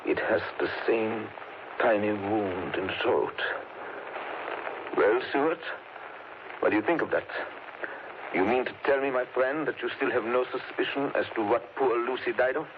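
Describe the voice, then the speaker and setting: Scratchy muffled old radio, male actor as grave older foreign doctor, deliberate, probing, solemn, slightly broken English. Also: medium pitch, lightly acted. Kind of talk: 1930s radio drama acting.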